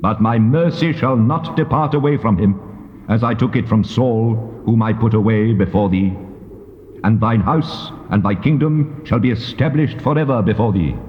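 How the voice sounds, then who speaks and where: A man's voice: on the low side, moderate pace, lightly acted. Male actor as commanding voice of God, stately declamation, long cavernous reverb, muffled tape, Bible dramatization. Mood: solemn, majestic. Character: God speaking a solemn promise, male, commanding. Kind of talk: dramatized King James scripture.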